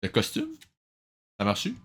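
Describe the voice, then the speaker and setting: Homme québécois au ton sarcastique. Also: male voice, normal speed, fairly bright tone, slight background noise. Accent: Quebec French. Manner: sarcastic, nitpicking, mixes in English phrases. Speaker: host of a cartoon review show. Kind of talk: sarcastic reaction commentary.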